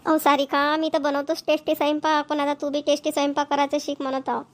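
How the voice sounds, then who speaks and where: A woman's voice: high voice, monotone, quick pace, close to an everyday voice. A woman voicing a caring, persuasive middle-aged village mother, with a coaxing, motherly manner.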